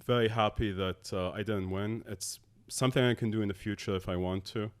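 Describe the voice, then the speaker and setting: A man in his thirties from Abu Dhabi, a tech co-founder talking as a podcast guest in a halting way with many filler sounds. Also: on the low side, steady tone, smooth. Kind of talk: casual interview talk.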